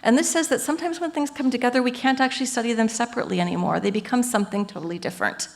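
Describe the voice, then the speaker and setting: Woman lecturer with a gravelly edge. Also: a little high, rapid-fire. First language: English. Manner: engaged, explains ideas through everyday metaphors; lively and earnest, with frequent "you know" and "um". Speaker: professor giving a symposium talk. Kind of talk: academic lecture.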